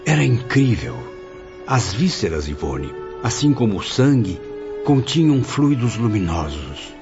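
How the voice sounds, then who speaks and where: A man's voice: medium pitch, a little slow. An actor playing an awed spirit who narrates his memories earnestly in a radio drama. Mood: marveling. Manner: expressive, earnest, wondering. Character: awed male spirit narrator recalling wonders to a listener. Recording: dull lo-fi audio under music.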